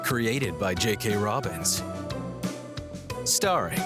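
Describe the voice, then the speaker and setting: A man's voice: on the low side, expressive, slow pace. A man announcing the opening credits of a show in a scripted introduction. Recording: loud music behind the voice.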